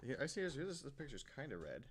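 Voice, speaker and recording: A man's voice: mid-range voice, huge pitch swings, fast, over a noisy crowd. A man talking casually as a podcast panelist, musing aloud in an informal way. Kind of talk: casual chat.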